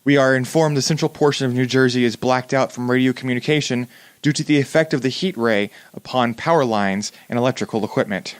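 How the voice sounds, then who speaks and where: A man's voice: mid-range voice, brisk, no room sound, natural voice. A man playing a radio news announcer in a 1930s-style drama, reading bulletins in a formal, grave manner.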